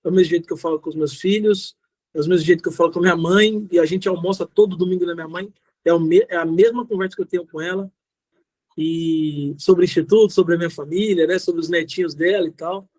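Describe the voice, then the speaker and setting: A middle-aged man with a Brazilian accent and a rather high voice, recorded dry and close. He talks like a motivational guest speaker, informal and direct, driving key phrases home by repeating them. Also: steady tone, fast talker, a little mellow, dry recording, low-quality recording. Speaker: guest speaker, social entrepreneur. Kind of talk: motivational talk answering audience questions.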